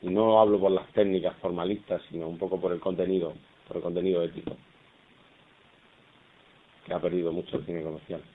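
A man, a film director, answering at a press conference in a halting way, often repeating words as he thinks. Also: low voice, little pitch movement, slight background noise. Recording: muffled phone-like press conference audio.

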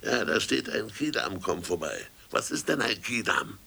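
Gravelly, puzzled bit-part actor. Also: male voice, on the low side, big pitch swings, normal speed, bright, forceful tone, full character voice. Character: clueless, grumbling male bit-part radio actor.

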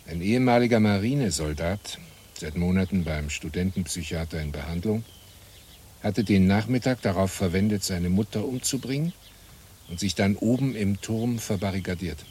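An actor playing a calm, wry man recalling the past in a German radio play, unhurried and matter-of-fact. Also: sits low, slight background noise, lightly acted. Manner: unhurried, matter-of-fact, pausing between phrases.

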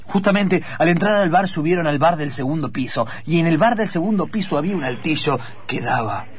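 A man's voice: a little high, animated, brisk, husky. A man narrating an improvised radio theatre story.

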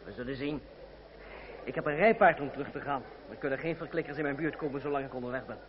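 An actor playing a bold, proud and ironic young fugitive in a radio play, with courtly, theatrical diction. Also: male voice, medium pitch, quick pace, lightly acted. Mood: guarded, determined. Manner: courtly, wry, declamatory. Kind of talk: old Dutch radio drama acting, theatrical, formal diction. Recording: dull, noisy old radio play recording.

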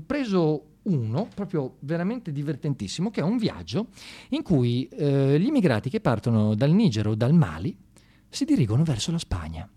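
A man's voice: a little high, a little mellow, breathy edge. Ironic male storyteller with swooping intonation. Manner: ironic, mock-enthusiastic, colloquial, addressing listeners directly. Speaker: radio monologue performer and storyteller. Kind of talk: informal satirical storytelling monologue. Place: radio studio.

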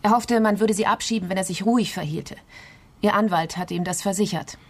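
A woman's voice: mid-range voice, brisk, natural voice. An actress in a crime radio play voicing a composed, determined investigator, matter-of-fact and clipped as she reports a case. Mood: sober.

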